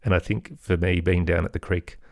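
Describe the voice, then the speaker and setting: Middle-aged male podcast guest, very low register, close dry sound, hesitant and conversational. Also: steady tone, brisk, dry recording. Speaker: podcast guest, audio storyteller and producer. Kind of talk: casual interview conversation.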